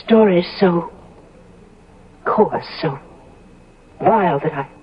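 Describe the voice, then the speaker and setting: An actress playing a devoted, proper young fiancée in a 1970s radio drama, with measured, emotive and formal phrasing. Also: female voice, fairly low pitch, lively intonation, crowd noise. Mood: accusing, hurt. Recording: dull lo-fi old radio broadcast.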